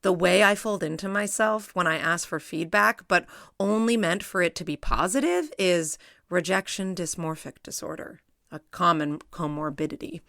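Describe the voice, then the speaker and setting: Middle-aged woman, low creaky voice, dry close read, wry and reflective essay narration. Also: a bit bright, no echo. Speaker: essay reader, psychologist. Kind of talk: reading her own personal essay aloud.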